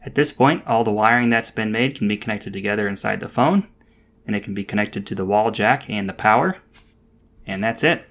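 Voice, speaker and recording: A man's voice: fairly low pitch, brisk, a bit bright. A man narrating a DIY project in a casual, explanatory voice-over with a dry, understated manner.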